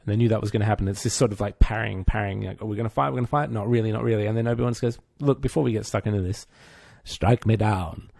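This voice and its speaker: A man's voice: on the low side, rapid-fire, gravelly, darkish tone, no echo, clean studio sound. A man co-hosting a podcast, chatting informally in an opinionated, geeky, self-mocking way. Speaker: podcast co-host. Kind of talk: casual pop-culture chat.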